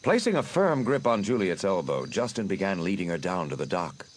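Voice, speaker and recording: A man's voice: sits low, very animated, a little fast, a little hiss or hum. A man narrating a dramatised audiobook from a script, telling the story dramatically. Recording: music playing behind narration. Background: music.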